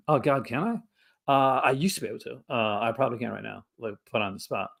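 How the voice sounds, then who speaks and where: A man's voice: medium pitch, animated, creaky, slightly rough. Laughing, rambling man. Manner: rambling and laughing often, breaks into quick character voices. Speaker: co-host. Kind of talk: casual live-stream chat. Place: home setup for a live stream. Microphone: desk mic for a live stream.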